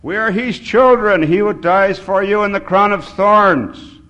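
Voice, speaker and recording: A man's voice: high voice, lively intonation, a little slow, gravelly, fairly bright tone, lo-fi sound, voice pushed up, full character voice. A man voicing a tearful old drifter in a story reading, crying out with pleading religious fervor. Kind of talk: dramatic short story reading.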